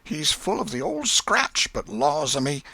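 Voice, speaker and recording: A man acts out a fretful old Southern aunt from a storybook, pitched well up and swooping widely. She is folksy and exclamatory, a pious scold with a soft heart, and the sound is bright and forward. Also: sing-song, a little slow, creaky, husky, no room sound, exaggerated voice. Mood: fretful, pitying. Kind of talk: audiobook character voice.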